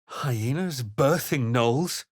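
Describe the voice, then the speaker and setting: A man playing a grave, wary fantasy adventurer, delivering scripted game lines in a measured, dramatic way. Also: medium pitch, lively intonation, husky, no room sound, clean studio sound, full character voice. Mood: grave, intent.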